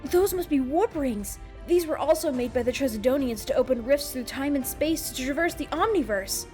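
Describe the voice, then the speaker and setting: A woman voicing a bright, earnest young fox inventor who explains things eagerly in an animated fan dub. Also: high voice, normal speed, faint music behind. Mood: urgent, informative.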